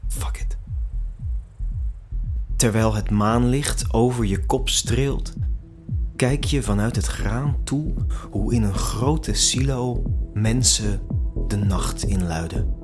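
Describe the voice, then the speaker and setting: A man's voice: lowish voice, slow, pauses often. A man narrating a scripted audio drama in the second person, in a dramatic, immersive manner. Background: music.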